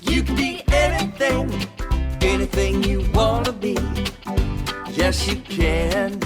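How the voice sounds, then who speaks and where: A woman singing an upbeat children's song with simple, repeated lines. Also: medium pitch. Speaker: singer. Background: loud country guitar music.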